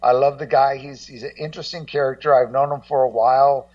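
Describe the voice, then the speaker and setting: A man's voice: medium pitch, steady tone, dry recording, far from the mic. Rambling man, dull muffled sound. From Los Angeles, United States. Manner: rambling, halting, repeats words, blunt and profane. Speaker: guest co-host, documentary maker. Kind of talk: casual interview chat.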